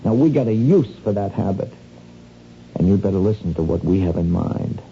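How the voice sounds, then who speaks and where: An actor playing a sly small-time crook in a radio drama, wheedling and insinuating in folksy, slangy talk. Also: male voice, sits low, lively intonation, normal speed, mellow. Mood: smooth, menacing. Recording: dull, noisy lo-fi radio recording.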